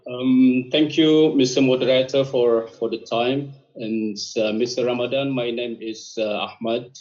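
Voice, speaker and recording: A man's voice: medium pitch, steady tone, measured pace, a little mellow. A man from Indonesia asking a question on a video call, polite and hesitant with many fillers. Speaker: audience member asking a question. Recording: muffled video call audio.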